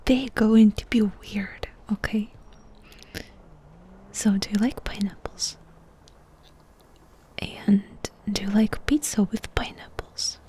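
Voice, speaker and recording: A woman doing a soft-spoken ASMR roleplay, asking questions in an intimate, unhurried whisper. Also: mid-range voice, fairly even intonation.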